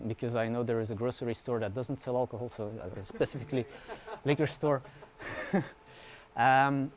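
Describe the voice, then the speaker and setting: A man, a university researcher giving a relaxed conference talk, often checking in with the audience. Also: mid-range voice. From Belgium. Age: 20s. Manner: casual, informal explaining with frequent "yeah?" and "right?" check-ins; fillers and restarts, light humor.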